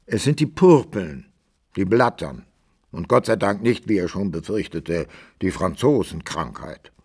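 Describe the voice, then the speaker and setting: A man narrating a literary radio drama in an expressive, measured storytelling manner. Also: sits low, husky.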